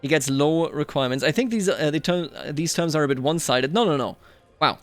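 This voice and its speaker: A man hosting a strategy game roleplay, thinking aloud in a musing, deliberate way as he comments and narrates. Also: a little high, a bit bright, faint music behind.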